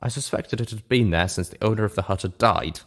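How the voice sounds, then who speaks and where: A man's voice: lowish voice, fairly quick. A man narrating a first-person story as a reader. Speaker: audiobook-style reader.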